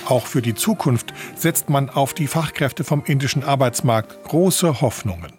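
A male radio reporter narrates a scripted feature. His pitch sits in the middle, and faint music plays behind him. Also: unhurried, darkish tone.

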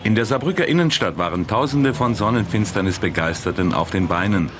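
A man's voice: fairly low pitch, lively intonation, brisk. A man narrating a scripted radio news report as a reporter, in an archive recording. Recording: archive report with loud outdoor noise.

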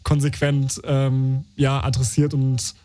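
A male radio co-host talking casually on a live call-in show. He hesitates often and fills pauses. His voice sits at an average pitch. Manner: relaxed, hesitant with many fillers.

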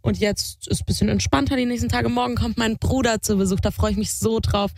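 A young woman co-hosting a podcast in casual, lively chat. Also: fairly high pitch, fairly quick, dry recording, clean studio sound. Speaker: podcast co-host.

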